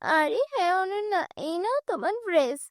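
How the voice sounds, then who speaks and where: Kind, downtrodden cartoon heroine, voiced by a woman. Very high, plaintive young voice, humble, dry sound. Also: animated, normal speed, no echo. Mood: pleading. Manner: plaintive, earnest pleading. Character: meek, mistreated young stepdaughter, kind and pleading. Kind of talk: cartoon fairy tale character acting.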